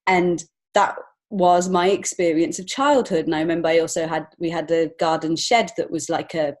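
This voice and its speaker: English woman in her 40s, lowish voice, chatting thoughtfully about her life on a podcast. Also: a little mellow. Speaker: podcast guest, children's author and illustrator. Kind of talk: personal storytelling in an interview.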